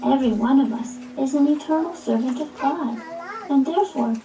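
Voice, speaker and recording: A woman's voice: sits high, a little fast, natural voice. A woman playing a pious, serene young prince in a devotional puppet show, reciting solemnly and reverently. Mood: respectful. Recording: echoey, distant, music underneath. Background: music.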